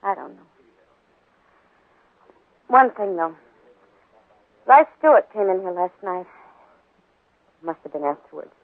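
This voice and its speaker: A woman's voice: mid-range voice, expressive, slow pace, pauses often, dry recording. An actress playing a frank, defiant Old West saloon woman in a 1950s radio western, blunt and plain-spoken. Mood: confiding, concerned. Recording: muffled, narrow 1950s radio recording.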